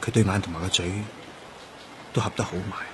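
A man's voice: sits low, steady tone, fairly quick, background noise, close to an everyday voice. A man acting in a Cantonese radio drama with a Hong Kong accent, playing a grief-stricken police detective who also narrates. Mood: numb, sorrowful. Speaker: actor.

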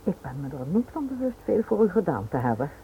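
Guarded, genteel lady, lively intonation. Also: fairly low pitch, fairly quick. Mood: guarded, uneasy. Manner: polite, reserved. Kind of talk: radio play acting. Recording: tinny, noisy old radio play recording.

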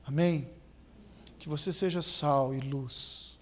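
A man's voice: a little high, fairly even intonation, slow. A man preaching a sermon, telling a story with rising, emphatic build-ups.

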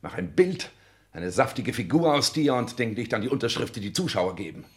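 A man's voice: mid-range voice, big pitch swings, brisk, natural voice. An actor in a 1960s German radio drama playing an arrogant, ambitious self-made man with a declamatory, commanding delivery. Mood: brooding. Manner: declamatory, haughty, clipped commands. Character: arrogant, ambitious self-made rich son, cold and imperious.

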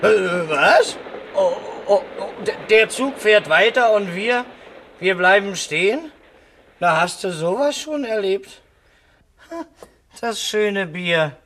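An actor playing a hapless, beer-loving police subordinate who talks colloquially and sulkily in a comic radio drama. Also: male voice, sits high, animated, slow, fairly bright tone, exaggerated voice. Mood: dismayed. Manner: colloquial, sulky, bewildered. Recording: noisy train scene in radio play.

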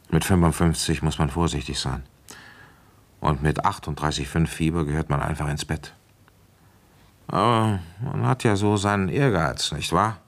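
Husky, low-voiced village police commissioner. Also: male voice, full character voice. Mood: weary, probing. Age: middle-aged. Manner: coaxing, paternal, blunt. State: feverish with a cold. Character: avuncular, ambitious village police commissioner in his fifties. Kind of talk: German radio drama acting and first-person narration.

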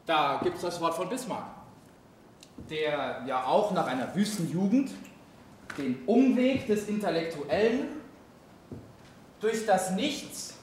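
An actor playing a cynical, mocking Nazi doctor in a theatrical stage manner. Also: male voice, sits high. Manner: mocking, theatrical, breaking into laughter. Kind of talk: stage drama acting. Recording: echoey hall, distant, faint noise.

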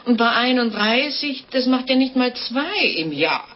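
An actress in a 1950s German radio drama playing a French innkeeper's wife who confesses murders in a calm, guileless, chatty way. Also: female voice, fairly high pitch, measured pace. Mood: breezy, self-justifying. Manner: matter-of-fact, guileless, chatty. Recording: dull, noisy old radio recording.

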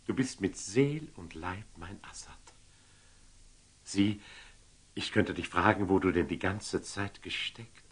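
An actor playing a magnanimous, commanding sultan, with measured, regal delivery in a classic German radio drama. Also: male voice, sits low, breathy edge, exaggerated voice. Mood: magnanimous, tender. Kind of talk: theatrical German radio drama acting of classic stage verse.

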